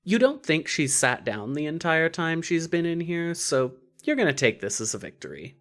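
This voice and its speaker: Lively, swooping story narrator. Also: medium pitch, normal speed. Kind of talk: scripted second-person story narration.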